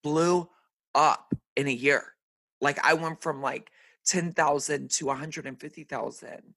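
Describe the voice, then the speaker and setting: A man in his thirties hosting a podcast, chatting casually with lots of fillers, his voice deeper and raspier than usual today. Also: steady tone, unhurried, sharp tone, dry recording. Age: 30s. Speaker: podcast host. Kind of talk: casual chat.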